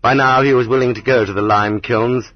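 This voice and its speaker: A man reading an audiobook as scripted literary narration, in a measured, dramatic manner. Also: mid-range voice, steady tone, no room sound. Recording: slightly dull, muffled audiobook recording.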